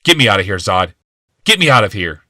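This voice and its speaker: Audiobook role: sickly, embittered male inventor acted by a man, suspicious, explosive, sharp-edged delivery, dry close sound. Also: on the low side, very animated, fairly quick, no echo, full character voice. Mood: frantic. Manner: shouting, hissing, accusing outbursts. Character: bitter, paranoid, sickly male inventor in a wheeled chair.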